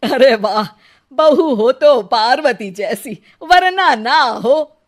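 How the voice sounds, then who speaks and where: Cartoon family drama: quarrelsome elderly mother-in-law played by a woman, high-voiced, scornful, sharp-tongued. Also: expressive, slow. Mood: admiring, pointed.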